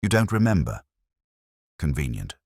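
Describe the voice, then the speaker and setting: A man voicing a gruff, hostile police lieutenant in an audiobook, curt and accusing. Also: very deep voice, sing-song, moderate pace, a little mellow, dry recording, studio quality, heavily acted. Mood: suspicious.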